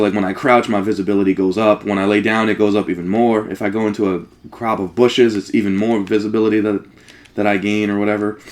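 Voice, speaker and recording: A man's voice: on the low side, a little mellow. A man giving casual let's play commentary, informal and sweary, thinking aloud as he plays.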